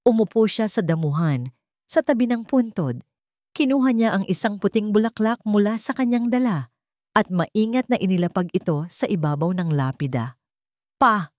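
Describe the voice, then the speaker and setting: A woman narrating a scripted story in a measured, earnest and formal way. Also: medium pitch, animated, warm tone, no room sound, clean studio sound.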